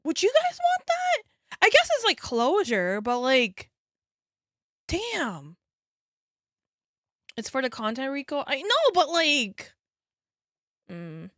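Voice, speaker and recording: Woman in her 30s, streamer reaction voice, animated pitch, unhurried, dry close mic. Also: no room sound. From United States. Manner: chatty, sympathetic, frequent gasps and sighs. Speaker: VTuber streamer reacting to a video. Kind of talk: casual reaction commentary.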